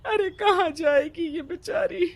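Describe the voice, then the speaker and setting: A woman's voice: extremely high pitch, a little slow, no echo, voice pushed up. A woman voicing a distraught village mother in a cartoon story, begging through tears. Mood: pleading. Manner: crying, begging.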